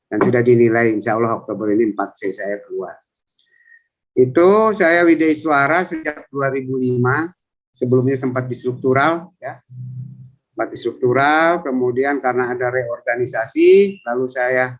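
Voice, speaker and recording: A man in his fifties, a civil service trainer, chatting and rambling through his self-introduction in an online coaching session. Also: medium pitch. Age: 50s. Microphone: video call. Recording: lo-fi video call audio.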